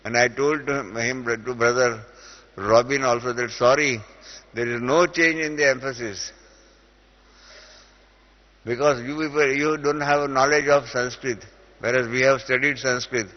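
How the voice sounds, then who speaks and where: A man's voice: medium pitch, steady tone, unhurried, pauses often. A middle-aged man with an Indian accent, teaching a spiritual class and explaining through everyday examples, with many hesitant "uh" fillers. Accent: Indian English. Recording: dull lo-fi audio with long reverb in a hall.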